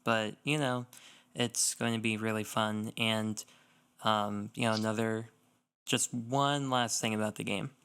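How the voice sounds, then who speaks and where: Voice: mid-range voice, fairly even intonation, a little slow, fairly bright tone, clear, resonant tone. A young adult co-hosting a gaming podcast, chatting casually and enthusiastically in fan slang. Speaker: podcast co-host.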